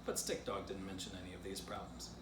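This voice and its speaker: A man's voice: deep voice, quick pace. Male children's presenter, informal, simple delivery, room echo, noticeable background noise. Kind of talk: children's story reading and casual drawing lesson.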